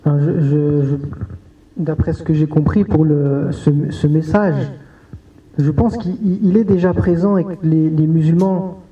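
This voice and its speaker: A man's voice: a little high, steady tone, a little slow, mellow. A man on a conference panel, answering in a hesitant way with many restarts. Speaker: panel speaker. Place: conference hall. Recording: distant roomy hall sound, dull audio.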